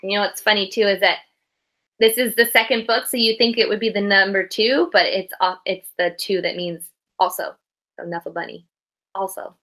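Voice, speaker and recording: A woman's voice: medium pitch, steady tone, normal speed, a bit bright. A woman reading a picture book aloud to young children like a teacher, expressively, with explanatory asides and questions.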